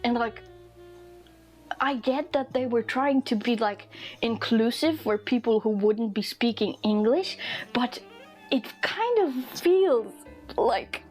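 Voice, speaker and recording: A young woman from Eastern Europe chatting casually in a rambling vlog while drawing, amused and quick to laugh, acting out the people she quotes. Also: fairly high pitch, expressive, darkish tone, faint music behind, poor audio. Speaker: vlogger.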